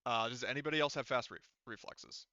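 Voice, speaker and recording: Bright, clear-voiced male game master. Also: medium pitch, dry recording, dull audio. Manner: halting, self-correcting explanations. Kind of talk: running a tabletop roleplaying game, casual.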